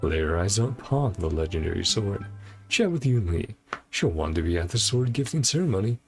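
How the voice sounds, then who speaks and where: A man's voice: fairly low pitch, animated, moderate pace. A man casually commentating a video game playthrough, reading bits of on-screen text aloud in clipped fragments over music.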